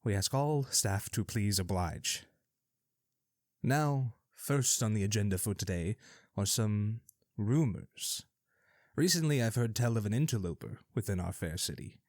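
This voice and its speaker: A man with a lowish voice hosts a scripted fantasy radio broadcast. He talks in a wry, theatrical manner, full of knowing asides. The sound is close and dry, with no room around it. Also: lively intonation, measured pace, a little mellow, no room sound. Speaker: radio show host.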